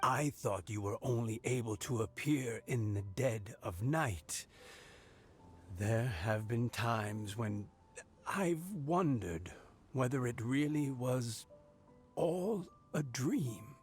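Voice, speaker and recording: An actor playing a frail, kindly old man in a video game, with a creaky, halting voice and gentle chuckles. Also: medium pitch, soft background music, close to an everyday voice. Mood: wistful, tender. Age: older. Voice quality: creaky, aged voice. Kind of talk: video game cutscene acting.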